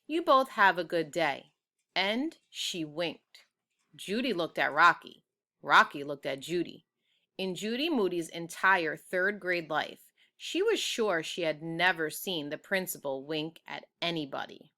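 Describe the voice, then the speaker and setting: A woman reading a children's book aloud, with expressive, deliberate storytelling. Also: lowish voice. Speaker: storybook reader.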